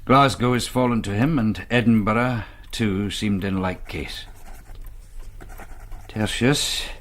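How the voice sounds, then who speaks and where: An actor playing a troubled, bookish young Presbyterian minister in a period radio drama, speaking in formal, scholarly, archaic phrases. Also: male voice, fairly low pitch, slow pace, a bit bright. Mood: weary, reflective.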